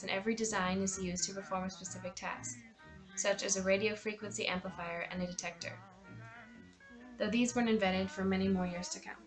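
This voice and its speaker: A woman's voice: mid-range voice, poor audio. A young woman reading scripted documentary narration for a student history project.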